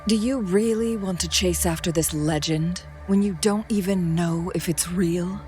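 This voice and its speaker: A woman's voice: fairly low pitch, unhurried, darkish tone, faint music behind, lightly acted. A woman playing a poised, cunning strategist in a video game, composed and coolly teasing. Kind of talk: scripted game voice acting.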